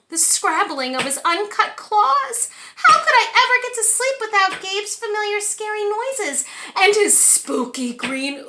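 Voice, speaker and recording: A woman reading a storybook aloud to preschoolers, encouraging and pausing to ask young listeners questions. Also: extremely high pitch, background noise. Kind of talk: animated children's storybook reading. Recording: echoey room.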